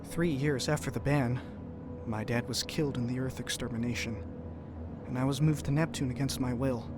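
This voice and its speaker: An actor playing an earnest, compassionate doctor in a science-fiction audio drama. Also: male voice, mid-range voice, background noise, lightly acted. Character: earnest grieving male doctor, cautious and compassionate sci-fi traveller.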